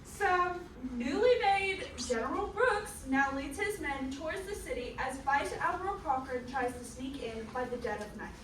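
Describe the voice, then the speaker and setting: A woman's voice: high voice, animated, moderate pace, few pauses, fairly bright tone. A woman narrating a comic scripted history in a theatrical manner with sardonic asides. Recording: roomy, distant mic, faint noise.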